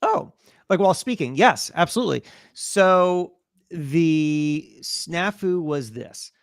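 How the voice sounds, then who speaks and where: Higher-voiced man telling stories in conversation. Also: expressive, takes it slowly, no room sound, studio quality. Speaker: guest, professional speaker. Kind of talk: conversational storytelling in an interview.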